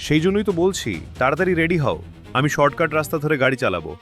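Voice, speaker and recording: A man's voice: on the high side, sing-song, fast, a bit bright. A man playing a sly, greedy, opportunistic younger son in a melodramatic cartoon dub. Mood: urgent. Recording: music behind the voice.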